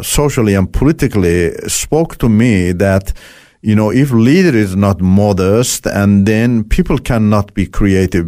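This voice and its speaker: A man from Iran whose first language is Turkish, telling his story as an interview guest, halting and filling pauses with "you know". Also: fairly low pitch, dark tone, no room sound, studio quality. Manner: halting, full of "you know" fillers and restarts. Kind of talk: recounting his life story in conversation.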